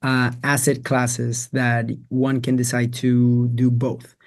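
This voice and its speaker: A man hosting an investing podcast, asking questions in a relaxed, encouraging way. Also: mid-range voice, slow pace. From immigrant to the US. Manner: encouraging, repeats praise. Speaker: podcast host. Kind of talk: casual interview hosting.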